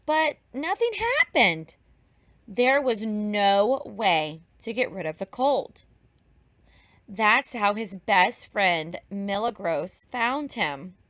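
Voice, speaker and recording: A woman teacher narrating a children's storybook aloud, expressively, with drawn-out emphasis for young listeners. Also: mid-range voice, expressive, a bit bright, no room sound. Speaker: pre-kindergarten teacher.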